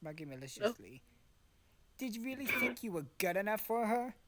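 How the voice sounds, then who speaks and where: A woman's voice: medium pitch, sing-song, normal speed. A woman narrating an amateur fan fiction reading casually, swearing and breaking off into exasperated asides.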